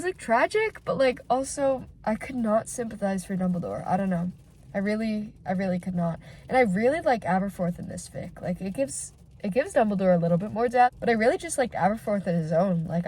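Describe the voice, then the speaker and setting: A woman with a stuffy, nasal voice from a cold, hosting a casual reaction vlog from inside her car, excitable and full of filler words. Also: mid-range voice. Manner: gushing and excitable, many filler "like"s. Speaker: channel host reacting to fan fiction.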